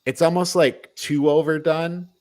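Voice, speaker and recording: A man's voice: fairly high pitch, fairly even intonation, unhurried, darkish tone. Man chatting casually through a film review. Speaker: podcast host.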